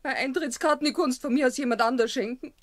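An actress playing a whiny, stubborn, fed-up wife with a Bavarian accent in a radio play. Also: female voice, high-pitched, fast, no echo, lightly acted. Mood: sulky, stubborn. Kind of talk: German radio play acting.

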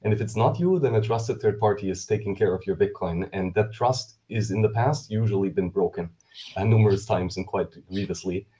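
A man explaining his point as a panelist over a video call with a headset, fluent and earnest, with steady uh fillers. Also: on the low side, fairly quick. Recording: dull lo-fi call audio.

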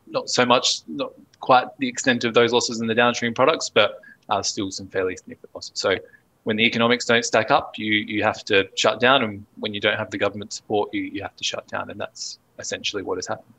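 A man in his thirties with an Australian accent, speaking as a guest on a video call and explaining things in a relaxed, steady way. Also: fairly low pitch, brisk, low-quality recording. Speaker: podcast guest, fertilizer analyst. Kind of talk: casual interview talk. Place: home office on video call.

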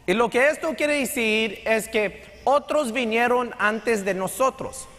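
A man's voice: very high voice, measured pace, sharp tone. A middle-aged man from Texas, a pastor preaching a conversational sermon with a Texan accent, mixing in English phrases. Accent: Texan Spanish with English code-switching. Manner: conversational preaching, switching between Spanish and English. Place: large church sanctuary stage. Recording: long hall reverb in sanctuary.

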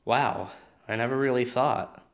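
Gravelly, questioning young guy played by a man. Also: medium pitch, a little slow, natural voice. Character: curious, questioning young male friend named Matt. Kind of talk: scripted audio drama dialogue.

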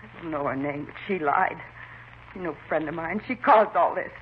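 An actress playing a distraught, unstable housewife, fretful and fixated, in a 1950s radio drama. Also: female voice, deep voice, moderate pace, lightly acted. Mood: agitated, bitter. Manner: fretful, fixated, repeats herself. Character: distraught, mentally unstable housewife confessing to killing her husband. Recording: muffled, noisy 1950s radio recording.

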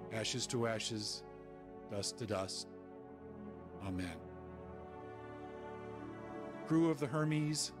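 A man playing a grave, dignified starship captain in a space game, speaking in a measured, formal way. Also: mid-range voice, natural voice. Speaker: ship's captain. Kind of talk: solemn scripted speech and briefing. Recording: music behind the voice.

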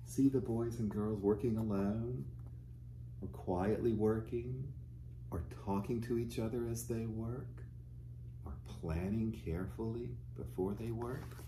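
Slow, mellow man reading to children. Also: lowish voice, a little hiss or hum, rough recording. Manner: gentle, simple explanations addressed to young children. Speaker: pastor. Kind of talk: children's story time, reading a picture book aloud. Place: small furnished living room, indoors.

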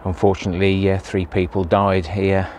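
Plain-spoken man with a lowish voice. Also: little pitch movement, moderate pace, darkish tone, a little hiss or hum. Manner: plain-spoken, many "uh" fillers. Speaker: allotment gardening vlogger. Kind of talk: casual vlog narration. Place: outdoor allotment, in and around a greenhouse.

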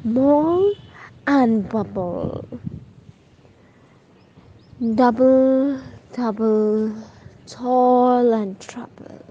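A young woman playing a witch, reciting a Shakespearean spell in an exaggerated, drawn-out theatrical chant. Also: a little high, dull audio, slight background noise. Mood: eerie, dramatic. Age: young adult. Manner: slow, exaggerated, drawn-out incantation. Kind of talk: dramatic Shakespeare monologue recitation.

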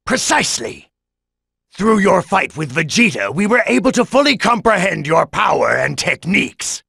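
Harsh, gravelly old android villain. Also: male voice, high-pitched, big pitch swings, pushed and bright, no echo, studio quality, full character voice. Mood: vengeful, gloating. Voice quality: gravelly, raspy. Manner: haughty, deliberate. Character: arrogant, sinister old male android scientist, coldly vengeful. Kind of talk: English anime game voice acting.

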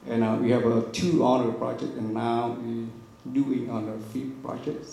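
Man, Vietnamese native, slow, gravelly, podium mic, echoey room, faint ambience. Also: medium pitch, little pitch movement, warm tone, slight background noise. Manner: slow, plain, halting English. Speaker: poet and translator. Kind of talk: reading poetry and introducing it. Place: small room at a poetry reading. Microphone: podium mic on stand.

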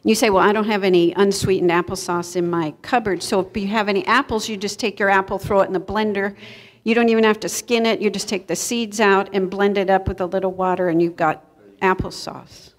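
Middle-aged woman, cooking demonstration, informal, explanatory, average pitch, hall reverb. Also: steady tone, fairly quick. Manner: conversational, explanatory. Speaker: cooking class presenter. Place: church kitchen hall, indoors, before an audience. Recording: echoey hall, live event recording.

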